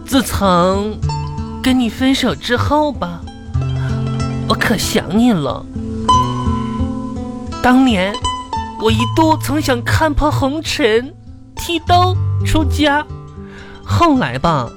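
Radio comedy: woman as vain, chatty drama queen, Northeastern Chinese accent, very animated pitch, music underneath. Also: slow pace, pauses often. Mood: mock wistful. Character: melodramatic, vain, self-proclaimed delicate young woman, chatty and comic. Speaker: radio comedy host and performer. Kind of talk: comedic radio play acting.

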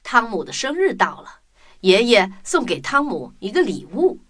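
A woman narrating a scripted children's story in an expressive storytelling manner. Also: on the high side, normal speed.

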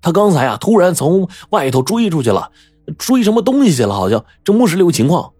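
A man's voice: high voice, big pitch swings, fairly quick, mellow, breathy edge, dry recording, studio quality, voice pushed up, exaggerated voice. A man voicing a sly, cowardly young man in an audio story, stammering and wheedling nervously as he makes excuses. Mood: glib wheedling.

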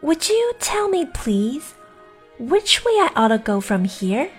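A woman's voice: high voice, big pitch swings, takes it slowly, soft background music, voice pushed up, exaggerated voice. A woman voicing an earnest, polite and inquisitive young girl in a storybook, with proper, emphatic speech. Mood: timid, curious. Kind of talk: storybook character acting.

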